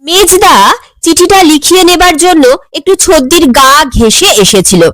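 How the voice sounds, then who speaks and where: A woman narrating a humorous audio story in a dramatic, animated storytelling manner. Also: high-pitched, a little slow, no echo. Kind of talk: expressive narration of a comic audio story, voicing dialogue.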